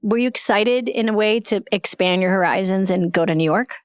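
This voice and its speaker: Relaxed laughing woman hosting a podcast. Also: mid-range voice, clean tone, dry recording, studio quality. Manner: relaxed, laughing, quick yeah backchannels. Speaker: podcast host. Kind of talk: casual chat.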